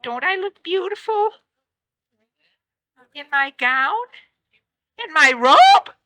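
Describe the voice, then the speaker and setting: A woman's voice: big pitch swings, slow pace, sharp tone, no room sound, full character voice. A woman doing a gushing greedy wife. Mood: vain. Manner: high, gushing and demanding. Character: greedy vain fisherman's wife.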